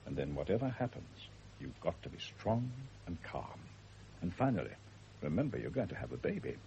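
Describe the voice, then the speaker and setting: Gravelly, deep-voiced family doctor. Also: male voice, sing-song, dark tone, heavily acted, low-quality recording. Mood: frank, reassuring. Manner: brisk, frank, fatherly. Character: brisk, kindly, blunt family doctor, reassuring and paternal. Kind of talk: period radio drama acting. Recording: hissy old radio drama recording.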